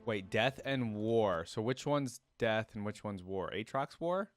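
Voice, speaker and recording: A man's voice: on the low side, animated, measured pace, faint music behind. A man hosting a reaction video, chatting casually and thinking aloud as he comments.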